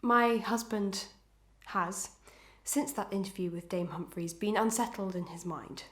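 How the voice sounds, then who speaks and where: A woman playing an anguished, proud and dutiful wife in a Victorian melodrama, with formal archaic diction and fervent, deliberate delivery. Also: mid-range voice, roomy sound, natural voice. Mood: formal, strained. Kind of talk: old-fashioned melodramatic stage acting.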